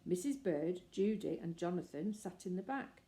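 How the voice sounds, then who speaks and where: Woman storybook reader, mellow and gentle, expressive. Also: fairly low pitch, moderate pace. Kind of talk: reading a children's book aloud.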